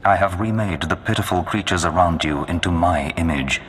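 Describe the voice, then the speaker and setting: An actor voicing a menacing Sith lord in a video game, speaking in a grandiose, commanding way. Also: male voice, low-pitched, normal speed, fairly bright tone, slight background noise. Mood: imperious. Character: menacing male Sith lord of a dark empire. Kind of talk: video game villain acting. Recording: long cavernous reverb.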